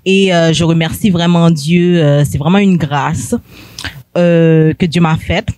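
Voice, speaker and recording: A woman's voice: lowish voice, fairly even intonation, a little slow, darkish tone, no echo. A young woman from Haiti, a guest telling her story in a hesitant way, with many fillers. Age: young adult. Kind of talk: hesitant personal testimony.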